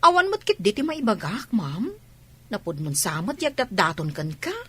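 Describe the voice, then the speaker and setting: A woman's voice: medium pitch, very animated, moderate pace, fairly bright tone, breathy edge. A woman playing a nosy, teasing household confidante in a radio comedy drama. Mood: probing.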